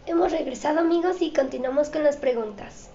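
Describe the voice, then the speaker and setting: A woman's voice: high-pitched, fairly quick, mellow. A woman hosting an interview show, reading out audience questions and presenting to viewers. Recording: dull, echoey room with faint noise.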